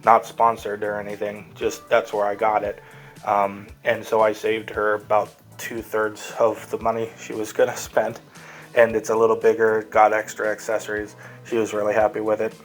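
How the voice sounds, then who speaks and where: A man's voice: on the low side, monotone, moderate pace, faint music behind, low-quality recording. A man hosting a casual, unscripted toy review, talking in a rambling, easygoing way as he handles the item. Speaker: toy reviewer and host of the show.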